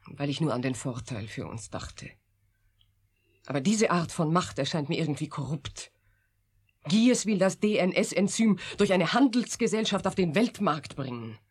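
Eine Schauspielerin spielt in einem deutschen Hörspiel eine stolze, besorgte und entschlossene Wissenschaftlerin, ernst und mit Autorität. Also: female voice, sits low, expressive, measured pace, breathy edge, heavily acted. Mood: earnest, troubled. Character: proud, troubled, resolute female scientist. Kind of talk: German radio drama acting.

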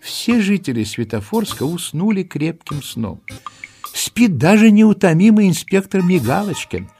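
A man playing the storyteller of a children's audio play, giving expressive, dramatic scripted narration. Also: high-pitched, measured pace, faint music behind, heavily acted. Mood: storytelling.

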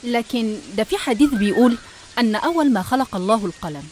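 Quick Egyptian woman with a highish voice. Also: expressive, darkish tone, a little hiss or hum. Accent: Egyptian Arabic. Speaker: narrator. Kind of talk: educational religious storytelling narration.

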